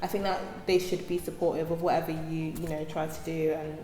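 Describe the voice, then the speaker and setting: A woman's voice: low-pitched, little pitch movement, fast talker, a little mellow, echoey room, in a large hall. A woman hosting an interview, encouraging her guest with frequent affirming interjections. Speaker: interviewer, host. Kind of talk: casual interview chat.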